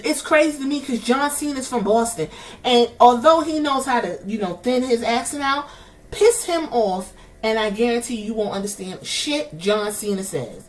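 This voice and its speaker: A woman's voice: on the high side, expressive, moderate pace. Woman, New York accent, podcast host, emphatic, profane, slightly distant from the mic. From New York City. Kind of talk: heated commentary.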